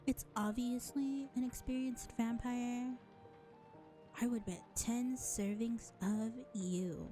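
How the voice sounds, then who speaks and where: A woman playing a sly, self-serving vampire bar owner in an audio roleplay, speaking in a sardonic, condescending drawl with deliberate pauses. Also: on the high side, fairly even intonation, dark tone, soft background music. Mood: exasperated teasing. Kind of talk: scripted audio roleplay acting addressed to listener.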